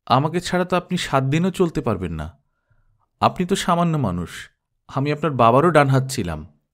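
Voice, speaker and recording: A man's voice: medium pitch, sing-song, moderate pace, close to an everyday voice. A man voicing a proud senior company manager in an audiobook, with an indignant, self-important manner. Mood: annoyed, indignant. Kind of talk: audiobook character dialogue.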